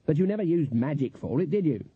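Guy putting on a grumpy old witch lady, husky, tinny echoey audiobook sound. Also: a little high, big pitch swings, fast talker, dark tone, voice pushed up, full character voice. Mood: dark, dismissive. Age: older. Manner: grumbling, dismissive. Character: stern, irritable old woman witch, bitter and indignant. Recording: echoey, narrow phone-like audio.

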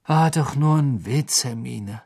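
Weary teen wizard boy, subdued, read by a man, husky and low-key. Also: slow, a little mellow, dry recording, studio quality, heavily acted. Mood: glum. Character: tired teenage wizard boy, glum.

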